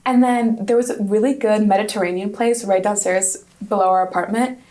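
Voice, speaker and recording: A woman's voice: mid-range voice, fairly even intonation, a little fast, dark tone. A woman in her twenties, a student guest in an interview, a native Hungarian speaker answering in a chatty, upbeat way with frequent restarts. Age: 20s.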